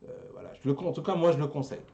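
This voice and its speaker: A man hosting a casual anime talk show, chatting with co-hosts in a halting, repetitive, teasing way. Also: fairly high pitch, steady tone, dark tone, dull audio, a little hiss or hum. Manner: halting, repeats words, teasing banter. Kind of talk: casual chat among co-hosts.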